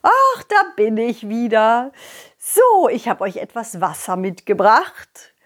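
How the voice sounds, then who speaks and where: A woman's voice: on the high side, wildly swooping pitch, exaggerated voice. Woman putting on a cheerful, swooping storybook king. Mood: cheerful, welcoming. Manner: exclamatory, leisurely, drawn-out words. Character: cheerful, hospitable king, fond host of a ghost.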